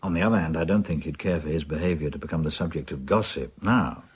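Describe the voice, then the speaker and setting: An actor playing a courteous, uneasy older English solicitor in a radio drama, polite, formal and measured. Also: male voice, very deep voice, breathy edge, exaggerated voice. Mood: troubled, reflective. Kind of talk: radio drama acting with brooding narration.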